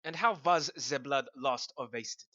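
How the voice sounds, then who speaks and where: A man's voice: medium pitch, measured pace, sharp tone. A man playing a grave, commanding older professor in a radio drama, solemn and emphatic. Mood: challenging. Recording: roomy, slightly dull, faint music.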